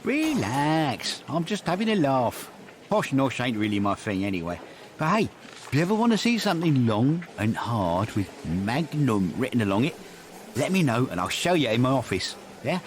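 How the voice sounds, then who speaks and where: A man's voice: medium pitch, big pitch swings, normal speed, slight background noise, lightly acted. An actor playing a sleazy, crude male orderly who teases and makes lewd jokes. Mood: smug leering. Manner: teasing, lewd, smug.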